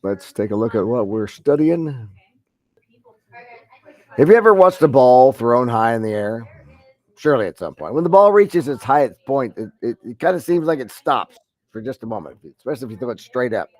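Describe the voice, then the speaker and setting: A man's voice: medium pitch, sing-song, normal speed, a little mellow. A middle-aged man with a head cold teaching an online math class, rambling with many fillers and restarts. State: head cold, deep congested voice.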